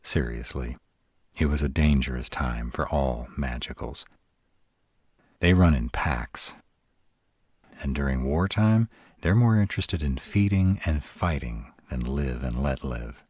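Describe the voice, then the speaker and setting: Male actor as gruff were-dragon, rock-bottom pitch, husky, protective, dryly sardonic, measured casual delivery, intimate roleplay audio. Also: a little mellow, dry recording, studio quality, heavily acted. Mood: grave, explaining. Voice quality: very deep, husky. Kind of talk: roleplay audio drama, intimate one-sided conversation.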